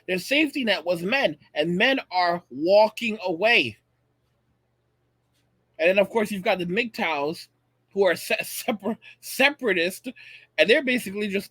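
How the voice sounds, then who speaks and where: High-voiced American man, emphatic commentator. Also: bright, forceful tone, no room sound. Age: late 30s. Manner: emphatic, repeats phrases for effect. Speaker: online video channel host. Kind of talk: opinionated commentary addressed to viewers.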